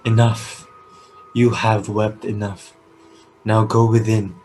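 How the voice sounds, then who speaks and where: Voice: medium pitch, little pitch movement, a little mellow, faint music behind, lightly acted, poor audio. An actor playing a composed, stern new ruler, speaking formally and firmly in a classical tragedy reading. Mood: firm, restrained. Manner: formal, measured, commanding.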